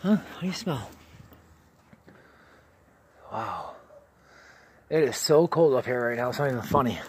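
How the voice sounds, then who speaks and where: A man's voice: medium pitch, very animated, unhurried, husky, no room sound. A man vlogging outdoors on a rocky hike, chatting casually and calling to his dog in a raised, coaxing voice, a little out of breath from climbing. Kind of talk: casual running commentary while hiking. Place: outdoors on rocky canyon slopes. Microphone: handheld camera held while climbing.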